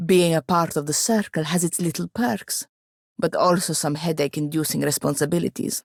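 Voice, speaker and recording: A proud young woman wizard from a fantasy video game. Her voice sits low and has a gravelly creak. She speaks in formal phrases with a dry, sardonic edge, in a very dry, close recording. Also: darkish tone, dry recording. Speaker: game companion character. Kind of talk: scripted video game dialogue.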